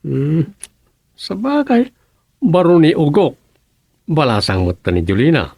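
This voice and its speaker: An actor playing a principled, mildly defensive husband with a Filipino Ilocano accent, explaining himself earnestly in a radio comedy drama. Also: male voice, a little high, wildly swooping pitch, takes it slowly, gravelly, a little mellow, breathy edge, no echo, full character voice. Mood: reflective.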